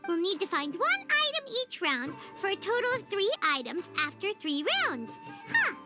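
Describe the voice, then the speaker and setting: A woman voicing a small, excitable, childlike fairy companion in a video game, squeaky and bubbly. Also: moderate pace. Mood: curious. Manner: bubbly, refers to self in third person. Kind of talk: animated video game voice acting. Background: playful background music.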